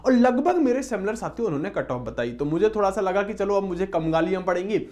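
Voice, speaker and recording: A man's voice: high-pitched, lively intonation, fast, darkish tone. A man speaking as an exam coaching teacher, explaining informally to students and often addressing them as friends. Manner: repeatedly addresses listeners as friends.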